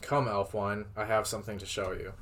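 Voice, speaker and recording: A grave, moody warrior king from a fantasy storybook, read by a man. His voice is on the low side, with a solemn, halting intensity befitting a father of few words. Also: level tone, fairly bright tone. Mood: tender.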